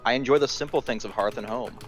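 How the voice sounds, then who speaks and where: A man's voice: medium pitch, fast talker. A man in casual, joking chat as a tabletop roleplaying player, blunt and wry in the way he talks. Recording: dull lo-fi audio, faint music.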